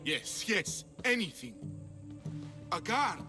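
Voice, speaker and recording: An actor playing an anxious, proud sculptor of ancient Athens in a video game, theatrical and earnest. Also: male voice, high voice, big pitch swings, slow, over music, heavily acted. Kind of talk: dramatic video game acting.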